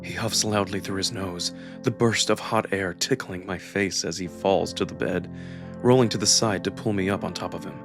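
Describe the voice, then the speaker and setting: Low-voiced man narrating over music. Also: fairly even intonation, normal speed. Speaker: narrator and performer of a visual novel. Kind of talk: dramatic first-person scripted narration.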